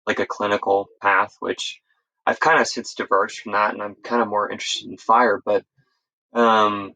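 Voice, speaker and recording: Male, American, lowish but bright voice, informal interview guest who rambles and backtracks mid-sentence. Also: flat delivery, moderate pace, rough recording. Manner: rambling, self-correcting, informal. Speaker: interview guest, EMS instructor. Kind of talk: casual conversational storytelling.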